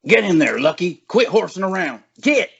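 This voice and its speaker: A man's voice: high-pitched, big pitch swings, normal speed, slightly rough, heavily acted. A man playing a crusty old farmhand, grumbling in folksy rural talk at a script table read. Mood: exasperated scolding. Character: crusty old male farmhand scolding his horses. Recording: dull audio with clicks and noise.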